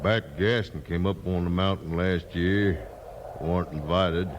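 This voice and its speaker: Gruff-worded hillbilly mountain man boss, low voice, few words, rural american drawl, noisy 50s radio. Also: highs cut off. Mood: dry, laconic. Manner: terse, slow-talking backwoods drawl. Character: laconic, proud, rustic mountain-man leader and father. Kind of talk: 1950s radio Western acting. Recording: noisy 1950s radio recording.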